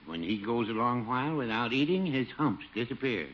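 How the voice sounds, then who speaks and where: A man's voice: mid-range voice, expressive, brisk, natural voice. Man acting a dry townsman with swooping intonation. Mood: dryly helpful. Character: dry, mild, unhurried male townsman giving advice. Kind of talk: 1950s radio sitcom acting. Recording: dull, hissy old radio recording.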